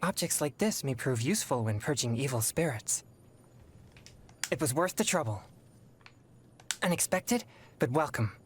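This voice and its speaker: A man voicing a calm, solemn young video game character, formal and restrained. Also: mid-range voice, normal speed, pauses often, slight background noise, close to an everyday voice.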